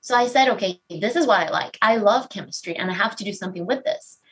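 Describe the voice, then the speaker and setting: A woman's voice: mid-range voice, fast talker, poor audio. Woman telling her own story. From Venezuela. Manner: candid and expressive, self-deprecating. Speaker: speaker giving a talk. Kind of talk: personal story talk.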